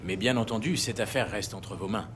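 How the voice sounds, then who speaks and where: An actor playing a composed, courteous justice official in a crime audio drama, speaking in a formal, articulate and measured way. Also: male voice, on the low side, noisy background, lightly acted. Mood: composed, satisfied.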